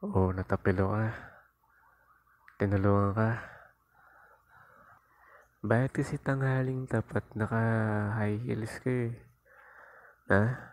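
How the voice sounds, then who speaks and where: A man's voice: mid-range voice, a little mellow. A Filipino man playing a jealous, possessive, sulky and playfully whiny young boyfriend in an improvised ASMR roleplay. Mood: jealous, accusing. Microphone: lapel mic into a phone, close.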